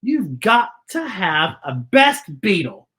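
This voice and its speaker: A woman's voice: mid-range voice, big pitch swings, slow pace, a bit bright. A woman voicing a bossy, self-important beetle in a picture-book read-aloud, speaking insistently.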